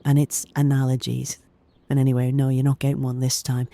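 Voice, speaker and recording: An actor playing a brisk senior police detective in an audio drama, with a dry, pedantic manner. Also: male voice, medium pitch, completely flat delivery, creaky, warm tone, dry recording, natural voice.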